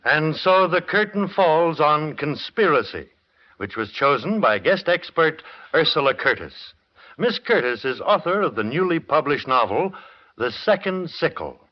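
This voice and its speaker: A man hosting and narrating a 1940s radio mystery show in a formal, measured, theatrical announcing style. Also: fairly high pitch, very animated. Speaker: host and narrator. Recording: dull old radio broadcast recording.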